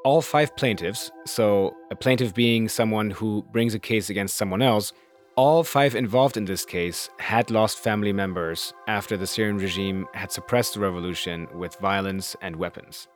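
A man hosting and narrating a documentary podcast in a measured, grave, explanatory way. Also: lowish voice, faint music behind.

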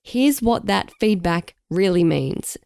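A woman, hoarse and raspy from a sore throat, co-hosting a casual career podcast. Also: medium pitch, animated, slow, a little mellow, dry recording, clean studio sound. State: sick, croaky sore throat. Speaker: podcast co-host, radio journalist.

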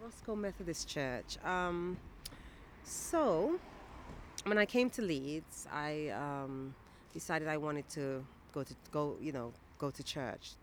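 A woman poet from Guyana speaks. Her answers come slowly and thoughtfully, with fillers and restarts along the way. She was recorded outdoors near a city church, with faint traffic in the background. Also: sits low. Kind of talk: reflective interview answers.

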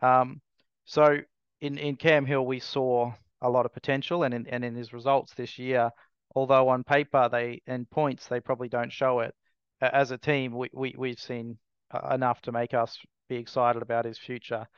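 A man in his thirties gives interview answers with many pauses and restarts. His voice is smooth and even, with little rise or fall. The sound is dry and a bit dull. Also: mid-range voice, no echo. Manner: hesitant, many restarts and fillers. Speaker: racing team owner.

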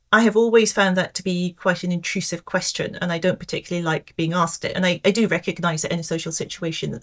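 Thoughtful English-accented woman, lowish voice. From Scotland. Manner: thoughtful and measured, many soft hesitations. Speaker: pensions actuary, podcast guest. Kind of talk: reflective interview answers.

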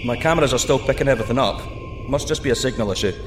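An actor playing a plucky, excitable male astronaut in a sci-fi audio drama, breezy and cocky between clipped technical reports. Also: medium pitch, racing, roomy sound, background noise. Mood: breezy, reassuring. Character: plucky, excitable male astronaut piloting a deep-sea microsub.

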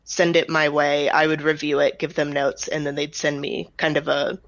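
A woman talks in a conversational interview style, laying out her explanations step by step. Her voice has a marked gravelly fry. The recording is dry and a little dull in the top end. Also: low-pitched, flat delivery, moderate pace, dry recording. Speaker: audio drama writer and director, guest. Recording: slightly dull, band-limited close recording.

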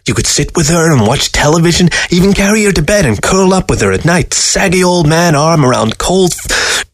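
A sly storybook fox acted out by a man, high-pitched and taunting. It needles its listener with folksy, sardonic teasing, in a dry, close recording. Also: lively intonation, a little fast, no echo. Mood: mocking. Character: sardonic, taunting dead fox, talking to its taxidermist.

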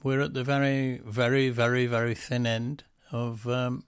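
A man's voice: medium pitch, completely flat delivery, measured pace, clean tone, no room sound, clean studio sound. A man co-hosting a casual podcast, rambling with lots of ums and self-deprecating asides. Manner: breaks into quoted voices for jokes. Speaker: musician and podcast co-host.

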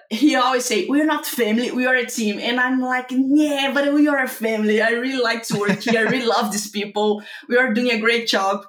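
A woman, a manager speaking as an interview guest, enthusiastic and using simple grammar. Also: a little high. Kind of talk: casual interview talk. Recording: roomy echo.

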